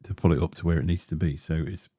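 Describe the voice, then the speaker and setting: A man's voice: steady tone, quick pace, dark tone, slightly rough, no room sound, studio quality. A man, hosting a casual livestream and rambling through chatty asides with lots of fillers. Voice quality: deep voice. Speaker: livestream host. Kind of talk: casual livestream chat while unboxing gear. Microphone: dynamic studio mic close up.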